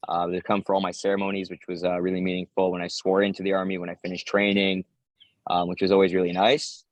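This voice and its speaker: Quick-talking young American man, low dry voice. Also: completely flat delivery, no room sound, dull audio. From United States. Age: 20s. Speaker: interviewee, infantry paratrooper soldier. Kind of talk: interview, casual talk.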